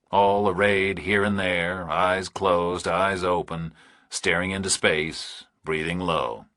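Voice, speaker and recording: Low-voiced man narrating a thriller. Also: a bit bright, no echo, clean studio sound. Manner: measured, terse phrasing. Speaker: audiobook narrator.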